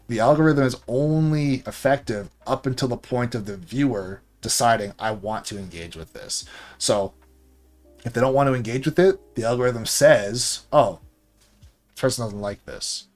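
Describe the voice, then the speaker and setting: A man's voice: mid-range voice, moderate pace, a little mellow. A man, a content creator and coach, talking casually to his viewers in a rambling monologue full of fillers. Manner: conversational, rambling with frequent fillers.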